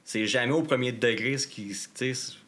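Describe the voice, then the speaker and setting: Slangy Québécois man, bright and punchy. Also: mid-range voice, fairly quick, a little hiss or hum. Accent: Québécois French. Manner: enthusiastic, slangy. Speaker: band member guest. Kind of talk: casual interview chat.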